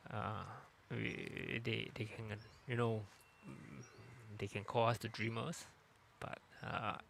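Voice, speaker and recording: A man from Singapore doing live sports commentary in a steady, even tone, with frequent pauses and fillers. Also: on the low side, vocal fry, slight background noise.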